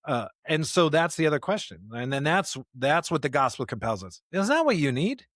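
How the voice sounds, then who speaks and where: A man's voice: fairly high pitch, sing-song, normal speed, a bit bright, no echo. The man at the podcast table who slips into preaching, driving points home by repetition, recorded close and dry. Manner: emphatic, repeats rhetorical questions and phrases. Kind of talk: conversational podcast discussion with sermon-like passages.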